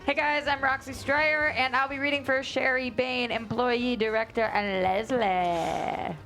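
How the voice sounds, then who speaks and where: A woman with a fairly high voice talks casually. She is an actor taking part in a script table read. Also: steady tone, a little slow, a bit bright, soft background music, low-quality recording. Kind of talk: casual show introduction.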